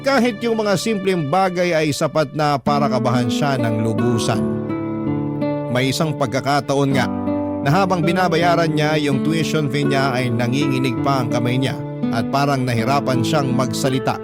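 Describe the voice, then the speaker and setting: A man's voice: mid-range voice, moderate pace. A man narrating a scripted, sentimental story in the style of a radio drama letter reading. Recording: loud guitar music under the voice. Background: prominent plucked guitar music.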